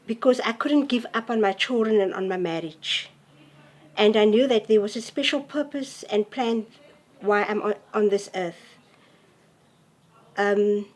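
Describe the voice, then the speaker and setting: A woman in her late forties giving earnest, halting personal testimony to camera. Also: on the high side. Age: 40s. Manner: halting, earnest, soft-spoken. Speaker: interviewee.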